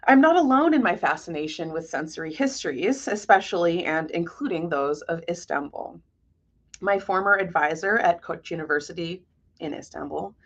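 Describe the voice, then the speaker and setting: A woman giving a prepared museum lecture as a curator, measured and articulate in a scholarly way. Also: on the low side, vocal fry. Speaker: guest lecturer, art historian and curator.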